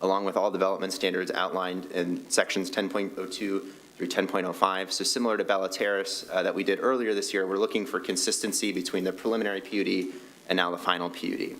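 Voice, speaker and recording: A man with a very deep voice presents a staff report to a city commission in a meeting chamber. He talks quickly and explains the procedure point by point, with fillers between his sentences. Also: flat delivery, fairly bright tone. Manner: fast, explanatory, with uhs and ums.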